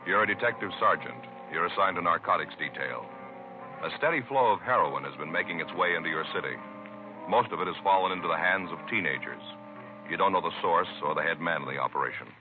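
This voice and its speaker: An American man announces in classic 1950s radio fashion. His low voice is emphatic and measured, moving widely in pitch. It sounds like an old, muffled broadcast with faint music. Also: expressive. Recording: muffled vintage radio recording.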